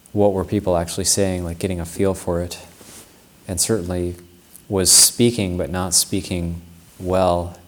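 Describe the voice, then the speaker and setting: A male Buddhist monk speaks in a very low, level voice that barely rises or falls. He gives a Dharma talk in an unhurried, reflective way, circling back and restarting his sentences as he recalls his own practice. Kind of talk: Dharma talk with personal anecdote.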